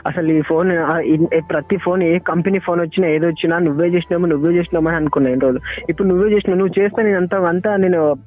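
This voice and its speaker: A young man with a Telangana accent speaks very quickly over a tinny phone line. He sounds hurt and upset, reproaching his former girlfriend and circling back insistently on his phrases. Music plays behind the call, and his voice sits fairly high. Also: steady tone. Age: young adult. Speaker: caller's former boyfriend. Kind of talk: emotional private phone argument.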